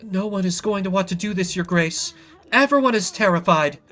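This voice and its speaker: A man playing a frightened villager in a story game, dramatic and halting as he warns of a witch. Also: sits high, animated, sharp tone, soft background music. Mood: fearful. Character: frightened villager, urgently warning of an escaped witch. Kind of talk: acted narrative game dialogue.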